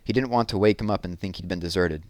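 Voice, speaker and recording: A man's voice: low voice, quick pace, no echo. A man reading a novel aloud in a scripted storytelling narration. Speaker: read-aloud reader.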